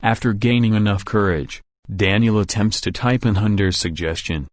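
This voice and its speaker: A man's voice: on the low side, moderate pace, dry recording, clean studio sound. A man reading scripted story narration for a visual novel.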